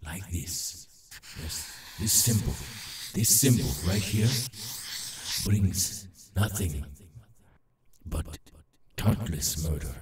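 A man voicing a halting, urgent demon in an ASMR roleplay, repeating himself in broken phrases and insisting he is harmless. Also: low-pitched, a little mellow, echoey room, recorded from a distance, full character voice.

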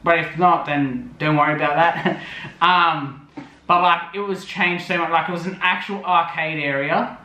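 Bright high-voiced young man. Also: fairly even intonation, unhurried, roomy sound, poor audio. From Australia. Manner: rambling, lots of fillers. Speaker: vlogger. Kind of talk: casual voice-over recap.

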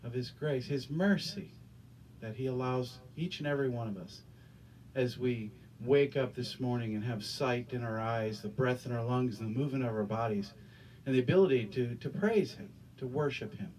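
A middle-aged male pastor leads prayer in an earnest, halting cadence, doubling back on his words. His voice sits in an ordinary middle pitch and is picked up a little off the mic. A faint hum of the small church room hangs behind him. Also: darkish tone, far from the mic, low-quality recording. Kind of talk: sermon and prayer. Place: small church stage with curtains. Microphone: handheld microphone.

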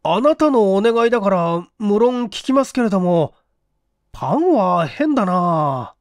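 A man performs a courteous, inquisitive gentleman in a vintage mystery drama. His pitch swings widely as he questions things in puzzled, easily surprised tones. The sound is dry and close. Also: sing-song, a little slow, no echo. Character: Okamoto, polite, puzzled, devoted male apartment manager.

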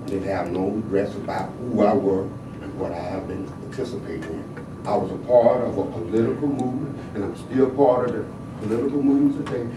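An older man from the American South speaking at a podium microphone, swinging between quiet reflection and loud, emphatic exhortation. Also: lowish voice, a little fast, recorded from a distance, background noise. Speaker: panel speaker. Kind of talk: impassioned activist speech. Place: large hall in a state capitol. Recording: echoey hall, heard from afar.

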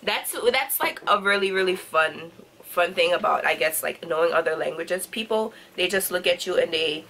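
A young woman chatting on a video call, animated and bubbly, quick to exclaim. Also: on the low side, slight background noise, low-quality recording. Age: young adult. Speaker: guest. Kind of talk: casual chat. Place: room full of plants.

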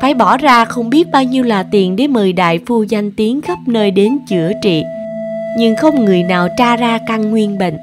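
A woman reading scripted narration for an animated folk tale. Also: fairly high pitch, normal speed, music in the background.

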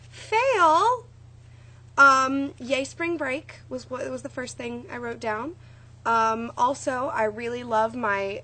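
A young woman, a little hoarse, chatting in a rambling, bubbly way to her friends from a small dorm room on a webcam. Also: mid-range voice, fairly bright tone. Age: young adult. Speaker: vlogger. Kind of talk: casual chat to friends. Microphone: webcam at close range.

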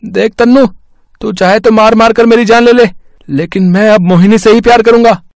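Man acting a high-voiced henpecked husband. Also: steady tone, moderate pace, dry recording, clean studio sound. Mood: defiant. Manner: complaining, theatrical. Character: henpecked, whiny, scheming village husband.

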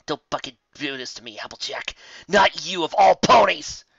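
A man voicing a brash, tomboyish female pegasus pony, loud, raw and straining. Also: fairly high pitch, lively intonation, a little slow, creaky, breathy edge, no echo, voice pushed up, exaggerated voice. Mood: anguished. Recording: dull lo-fi dry recording.

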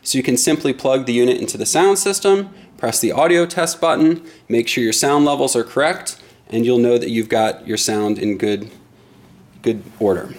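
A middle-aged man giving an instructional product demonstration in a deliberate, explanatory way. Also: medium pitch, darkish tone. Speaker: product presenter.

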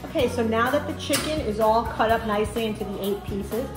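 A woman's voice: a little high, brisk. Woman demonstrating over music. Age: middle-aged. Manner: explanatory, step-by-step. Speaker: cooking show host. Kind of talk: casual recipe demonstration. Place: home kitchen, indoors. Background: music, frying and sizzling sounds.

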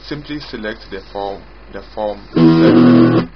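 Male developer, low voice, halting informal app walkthrough; dull lo-fi recording with prominent music. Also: animated, creaky. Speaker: software developer demonstrating own app. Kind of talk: informal screen-recorded software demo narration.

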